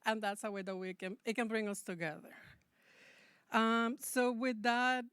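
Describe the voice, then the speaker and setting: A woman's voice: on the high side, fairly even intonation, measured pace, a bit bright, smooth, dry recording, clean studio sound. A woman from Mexico, a native Spanish speaker, giving a prepared welcome speech as a library representative.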